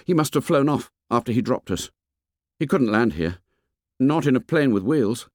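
A man's voice: medium pitch, expressive, moderate pace, darkish tone, no echo, clean studio sound, voice pushed up, close to an everyday voice. A man voicing an offhand, aloof fair-haired schoolboy.

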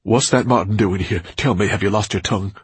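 A man's voice: fairly low pitch, sing-song, moderate pace, breathy edge, no room sound, voice pushed up, exaggerated voice. A man voicing a grief-stricken, vain and theatrical bookish man who speaks in a dramatic, flowery way. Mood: sharp. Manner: dramatic, flowery, self-absorbed.

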